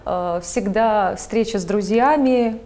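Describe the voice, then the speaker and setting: A woman's voice: medium pitch, slow pace, warm tone. A woman guest talking casually and candidly about her own habits in an interview. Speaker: guest sharing own smoking experience.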